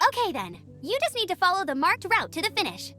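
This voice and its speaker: A woman's voice: very high voice, huge pitch swings, fast, bright, forceful tone, full character voice. A woman playing an eager, upbeat young female knight and gliding instructor in an anime-style video game, with a peppy, earnest delivery. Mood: earnest, encouraging. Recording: music behind the voice.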